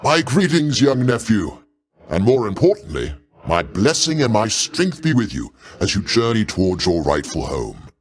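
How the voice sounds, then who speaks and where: A mighty older god king in a video game, speaking like a proud, doting uncle. His delivery is theatrical and courtly, with pitch that swings boldly up and down. He stays genial and grandiose throughout. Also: wildly swooping pitch, normal speed. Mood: hearty, encouraging. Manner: grandiose, avuncular, courtly old-fashioned diction.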